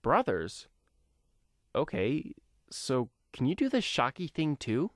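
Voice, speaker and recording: A man's voice: medium pitch, wildly swooping pitch, takes it slowly, no echo, clean studio sound, voice pushed up. A man voicing a confused teenage male vigilante who asks questions in an audio comic story. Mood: curious, puzzled. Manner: bewildered, questioning.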